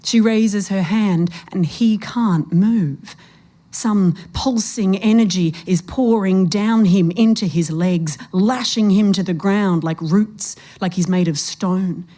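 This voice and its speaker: A woman's voice: medium pitch, slow pace, dark tone. A woman narrating a suspenseful short story in a literary reading style.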